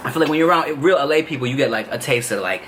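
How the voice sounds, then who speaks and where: A man hosts a loose, easygoing chat, his slangy talk rattling along at a quick clip. His voice sits at a middling pitch. Steady background noise hums under the recording. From Los Angeles, raised there. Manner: laid-back slangy talk, many asides. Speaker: podcast host. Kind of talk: casual chat.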